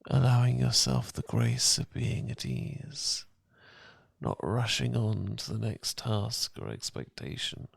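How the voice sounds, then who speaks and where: Gravelly, breathy British man, soft ASMR. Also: medium pitch, no room sound. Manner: gentle, calming, intimate, unhurried. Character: loving, reassuring boyfriend. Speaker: ASMR roleplay performer. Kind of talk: romantic ASMR, soothing guided meditation. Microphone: close, dry ASMR miking.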